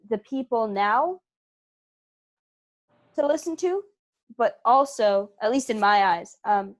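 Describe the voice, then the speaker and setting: A woman giving casual interview answers as a guest, thoughtful and emphatic as she clarifies point by point. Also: a little high. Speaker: interview guest, theatre company member and writer.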